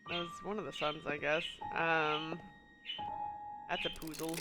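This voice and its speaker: A woman's voice: deep voice, level tone, measured pace, loud music behind the voice. A woman with a stuffy nose streaming a puzzle game, thinking aloud and reading in-game text in a casual way. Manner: muses aloud, reads in-game text, chuckles often. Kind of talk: casual gameplay commentary.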